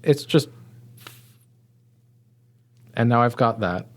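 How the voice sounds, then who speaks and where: A man's voice: mid-range voice, takes it slowly, voice pushed down, natural voice. A man voicing a bewildered male patient who talks in a polite, fussy way in a comedy podcast sketch. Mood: worried.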